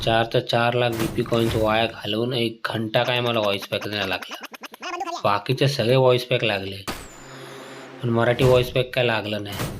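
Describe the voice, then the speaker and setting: Male gaming host. Casual and blunt, very even intonation, noticeable background noise with clicks. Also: medium pitch. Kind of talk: casual gameplay commentary with slang.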